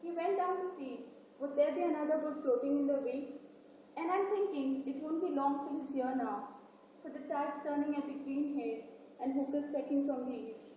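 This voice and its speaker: A woman's voice: high-pitched, moderate pace, warm tone, a little hiss or hum, lightly acted. A woman playing an anxious, watchful young island daughter in a stage play. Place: stage in a hall, distant microphone. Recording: very echoey hall, far mic, faint music.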